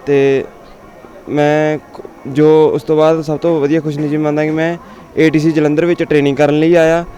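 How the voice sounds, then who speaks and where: A man with a Punjabi accent, a new recruit from Sangrur, speaking haltingly as he switches between Hindi and Punjabi. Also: fairly high pitch, little pitch movement, mellow, a little hiss or hum. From Sangrur, Punjab, India. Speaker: paramilitary recruit.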